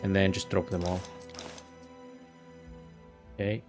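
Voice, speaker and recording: Man, low voice, gaming commentary. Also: music in the background. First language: Greek. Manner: thinking aloud, relaxed and unhurried. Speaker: gaming video host. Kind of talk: casual gameplay commentary.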